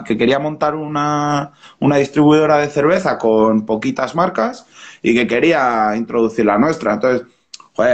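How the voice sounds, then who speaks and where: Spanish man chatting loosely on a video call. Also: mid-range voice. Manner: relaxed, rambling, with many restarts and fillers. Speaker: brewer and brewery founder, guest. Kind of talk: casual interview over video call. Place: small room at home, indoors. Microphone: webcam or laptop mic on a video call.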